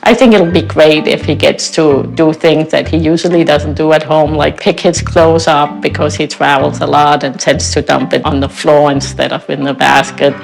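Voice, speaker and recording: A woman's voice: low-pitched, fairly even intonation, normal speed, vocal fry, hardly any pauses, soft background music, low-quality recording. A woman, the CEO's wife, teasing her husband in a reality TV interview.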